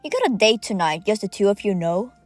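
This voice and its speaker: A woman voicing a clever, chatty young female coworker in an audio drama, talking in a lively, teasing way. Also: mid-range voice, brisk, no echo, faint music behind, natural voice.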